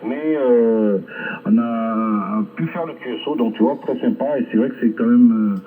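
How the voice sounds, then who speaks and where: A man chatting casually as an amateur radio operator over a shortwave link, rambling hesitantly with long filled pauses. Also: medium pitch, phone-quality sound. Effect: shortwave ham radio transmission. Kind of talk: casual ham radio contact chat. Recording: band-limited shortwave radio reception.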